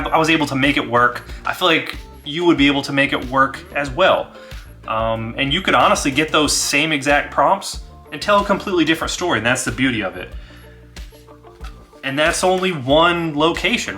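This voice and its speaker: A man's voice: on the high side, pushed and bright. A man who hosts a gaming channel, talking to his audience and telling stories of solo play. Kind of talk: dramatized horror story narration and casual review.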